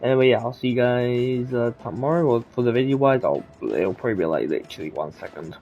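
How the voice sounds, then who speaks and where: A man's voice: mid-range voice, animated, fast, dull audio. A man doing casual gameplay commentary as a streamer, rambling and offhand. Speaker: gaming streamer. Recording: game music behind the voice.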